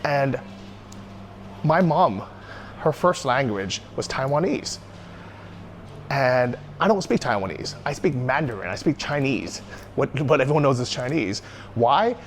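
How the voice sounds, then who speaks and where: A man's voice: mid-range voice, animated, a little slow, pauses often. American guy ranting in a vlog, emphatic, asks his own questions then answers them, faint noise behind. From United States. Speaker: YouTuber. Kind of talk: opinionated solo vlog monologue.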